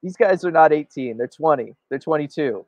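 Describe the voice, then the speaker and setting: A man's voice: a little high, lively intonation, fairly quick, darkish tone, no echo. A man co-hosting a sports show, giving emphatic opinionated commentary and often checking for agreement. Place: indoor room, video call.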